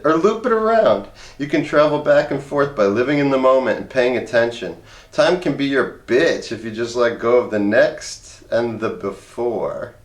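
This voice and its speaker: A man reading a comic memoir aloud in a wry, conversational audiobook style. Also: mid-range voice. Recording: roomy, echoey voice recording.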